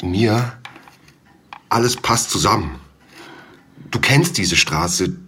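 A man's voice: on the low side, lively intonation, takes it slowly, sharp tone, a little hiss or hum, heavily acted. An urgent young man explaining clues.

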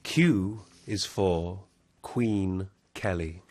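A man reciting scripted verse in a florid, deliberate, declamatory way, as in a theatrical spoken-word piece. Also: sits low. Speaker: performer. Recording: loud music over the voice. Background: loud music.

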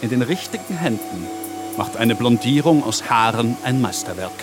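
A man's voice: medium pitch, animated, normal speed, music in the background, lightly acted. A man playing a pompous, theatrical narrator of a crime radio play. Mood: pompous, ominous. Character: grandiose male crime-story narrator, theatrically solemn.

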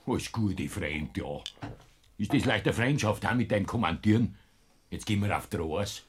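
A man's voice: lowish voice, lively intonation, normal speed, breathy edge. A man playing a stubborn old Bavarian farmer. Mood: grumbling. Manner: dry, stubborn, folksy. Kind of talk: classic radio play acting.

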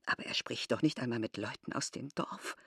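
Breathy, indignant innkeeper woman. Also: extremely low pitch, sharp tone, full character voice. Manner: slow, emphatic, drops into breathy whispers. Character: indignant, disbelieving village innkeeper's wife, proud and reproachful. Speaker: actor. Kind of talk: literary radio drama acting.

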